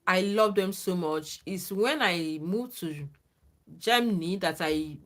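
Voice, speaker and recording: A woman's voice: fairly low pitch, slow, a bit bright. Earnest woman giving advice, checks in often. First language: not English. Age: adult, former lecturer. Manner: earnest, repeats "okay?" to check in with listeners. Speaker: livestream host. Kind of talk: casual advice talk to viewers.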